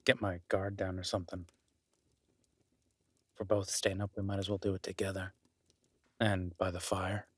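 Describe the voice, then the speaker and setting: Man acting a low, husky, protective woodsman. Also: flat delivery. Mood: reassuring, wry. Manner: soft-spoken, unhurried, reassuring, wryly joking. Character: gruff-kind woodsman host, gently teasing, protective cabin dweller. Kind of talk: roleplay audio drama, intimate one-sided conversation.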